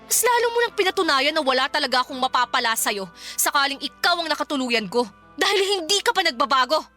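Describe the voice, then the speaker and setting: Radio drama ex-girlfriend: young, cold, fed up, high and forceful, sarcastic. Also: sing-song, fast, soft background music, full character voice. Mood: angry, reproachful. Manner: scolding, blunt, sarcastic. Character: Yeri, cold, exasperated young woman confronting her ex. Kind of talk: Filipino radio drama acting.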